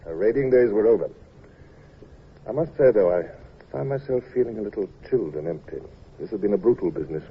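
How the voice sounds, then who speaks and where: Husky-voiced British naval commodore. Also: male voice, on the low side, lively intonation, heavily acted. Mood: somber, reflective. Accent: British English. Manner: formal, measured, commanding. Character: British naval commodore, reflective, stern, authoritative. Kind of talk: old-time radio drama acting and first-person narration. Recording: muffled old radio recording.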